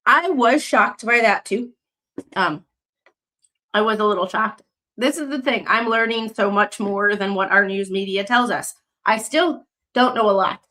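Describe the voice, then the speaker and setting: A woman's voice: mid-range voice, a little slow, sharp tone. A young adult woman from the United States, hosting a casual livestream, talking in a rambling way with many fillers and restarts, and reading news excerpts aloud. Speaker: livestream host.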